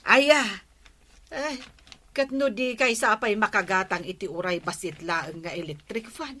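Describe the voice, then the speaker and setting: Nosy, teasing village woman, Ilocano accent, unhurried sharp voice, radio comedy. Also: mid-range voice.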